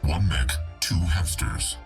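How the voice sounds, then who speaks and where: A deadpan machine translator from a video game, extremely deep and creaky. It announces things in a stilted, literal way with robotic processing, over music. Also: male voice, slow pace, recorded from a distance, exaggerated voice. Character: flat robotic translator AI speaking for a hamster pilot.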